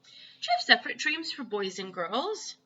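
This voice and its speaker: A woman's voice: on the high side, very animated, normal speed, bright, forceful tone, slightly rough, muffled recording, heavily acted. A woman voicing a curious, polite little girl in a storybook, eager and questioning.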